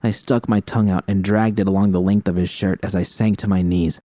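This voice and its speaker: A man's voice: low voice, level tone, a little fast, dark tone, no room sound, studio quality. A man reading scripted first-person dramatic fiction as an audiobook narrator.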